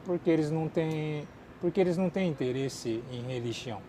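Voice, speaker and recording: A Japanese-born man speaking as a second language, casually answering viewer questions with lots of fillers, swinging from lively to quiet mumbling. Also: fairly high pitch, a little fast, noisy background. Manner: chatty, many fillers, drifts between lively and mumbling. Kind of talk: casual chat. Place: outdoors in a city.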